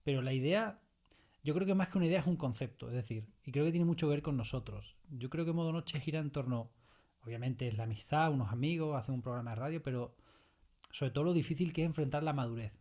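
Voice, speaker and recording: A middle-aged man talks as a guest in a studio interview. His voice is medium-pitched. He reflects out loud in a conversational way, often ending phrases with small tag questions. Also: fast. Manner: keeps checking in with tag questions. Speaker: interview guest, audio fiction writer. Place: recording studio.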